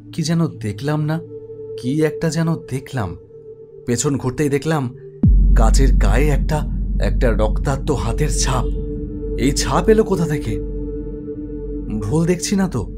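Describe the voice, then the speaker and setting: A man's voice: mid-range voice, close to an everyday voice. A man playing an office web developer who narrates his own ghost story in a dramatic, suspenseful way. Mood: uneasy, puzzled. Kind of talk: scripted first-person horror story narration. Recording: music behind the voice.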